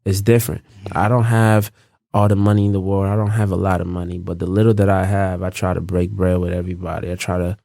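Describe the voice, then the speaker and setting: Young man, deep voice, from los angeles, easygoing rambling talk, dry close sound. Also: flat delivery, quick pace, vocal fry, mellow, dry recording. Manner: laid-back, rambling, frequent fillers and restarts. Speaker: guest. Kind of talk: casual interview chat.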